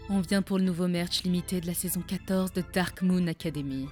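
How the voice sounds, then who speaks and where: A woman voicing a snobby, mocking young anime fangirl in an animated comedy dub, condescending and exaggerated. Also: lowish voice, brisk, soft background music, close to an everyday voice. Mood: demanding, appalled.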